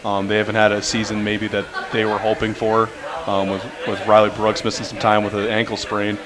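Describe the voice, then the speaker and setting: Earnest coach over loud bar crowd. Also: male voice, on the low side, completely flat delivery, fairly quick, low-quality recording. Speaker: high school basketball coach. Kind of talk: radio interview guest. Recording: busy bar crowd behind. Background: bar crowd chatter.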